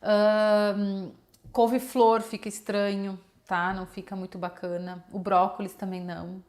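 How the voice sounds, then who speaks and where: A woman's voice: mid-range voice, level tone, measured pace, a little mellow. A woman with a southern Brazilian accent giving casual, conversational advice to viewers, often adding little checking tags. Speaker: children's nutritionist giving advice. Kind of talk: casual explanatory talk to viewers.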